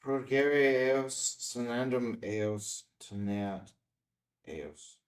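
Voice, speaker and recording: An actor at a screenplay table read playing an earnest, skeptical man, with halting, thoughtful phrasing. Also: mid-range voice. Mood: dazed, hushed. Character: Ben, skeptical, earnest male outsider investigating a scam.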